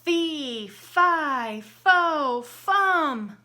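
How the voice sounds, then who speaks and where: A woman voicing a big, hungry male giant who chants his threats loudly in a storybook reading. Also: expressive, slow, exaggerated voice. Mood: roaring. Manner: loud booming chant.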